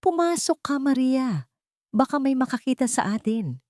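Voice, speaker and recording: A female narrator plays a frightened Filipino woman hiding from a cruel family in an audio story. Her voice is mellow and dark, bitter and pained in a dramatic way. It is recorded dry and close. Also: high-pitched, big pitch swings, normal speed, no echo, voice pushed up. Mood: urgent, hushed.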